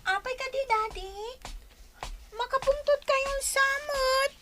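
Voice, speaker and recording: A woman's voice: extremely high pitch, takes it slowly, very noisy. A woman playing a young schoolgirl daughter who pleads and explains earnestly in a radio comedy-drama. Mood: defensive.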